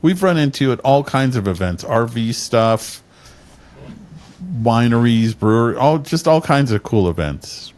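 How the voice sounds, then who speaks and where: A man hosting a casual podcast interview, upbeat and quick to laugh between questions. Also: medium pitch, lively intonation, a little mellow. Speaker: podcast host.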